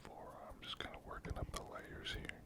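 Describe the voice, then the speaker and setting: Hushed, breathy ASMR whisper. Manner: unhurried, gentle step-by-step narration. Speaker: host of a miniature painting ASMR channel. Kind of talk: ASMR, whispered painting commentary.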